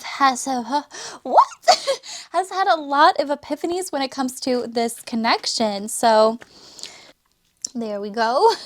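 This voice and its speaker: Chatty high-voiced woman reading tarot. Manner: chatty, lots of "like" and laughs. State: losing her voice. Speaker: tarot reader. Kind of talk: casual pick-a-card tarot reading.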